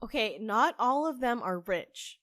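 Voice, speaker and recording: A woman's voice: a little high, lively intonation, measured pace, a bit bright, no echo, clean studio sound. A woman playing an earnest, hopeful young witch who runs a cafe, in a conversational audio drama style. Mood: upbeat.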